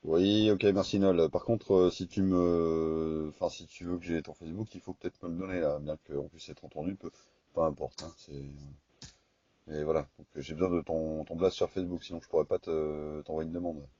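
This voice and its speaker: A man with a very low, mellow voice rambles hesitantly through a casual two-way radio chat. The recording is dry and a little dull, and his speech is loose and colloquial. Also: fairly even intonation, moderate pace, dry recording. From France. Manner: rambling, hesitant, colloquial, often ending on "quoi" and "hein". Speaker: radio amateur operator.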